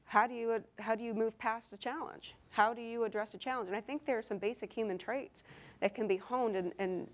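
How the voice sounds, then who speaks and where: A woman in her thirties speaks on a conference panel stage as an engineer. She talks earnestly, often repeating words as she gathers her thoughts. She speaks into a lapel mic. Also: medium pitch. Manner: earnest, with many repeated words and light humor. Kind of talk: panel discussion.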